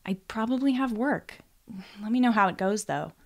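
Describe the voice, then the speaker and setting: A woman's voice: fairly high pitch, lively intonation, normal speed, pitched above the performer's own voice. Wry young barista voiced by a woman. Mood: unimpressed. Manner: dry, offhand. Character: young female student barista, wry, casual, unimpressed.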